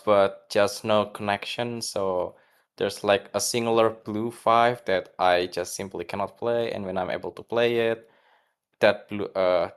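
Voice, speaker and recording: Low, smooth-voiced man answering haltingly. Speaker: player being interviewed. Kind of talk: casual post-game interview answer.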